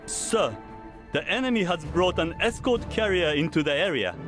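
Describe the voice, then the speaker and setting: An actor playing a dutiful, tense junior naval officer giving reports in a war game. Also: male voice, a little high, animated, normal speed, lightly acted. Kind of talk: game voice acting. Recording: loud music behind the voice.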